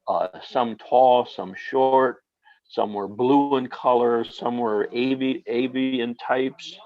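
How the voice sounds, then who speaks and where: A man telling a personal experience, speaking slowly and haltingly with many fillers. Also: medium pitch, muffled recording. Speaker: guest.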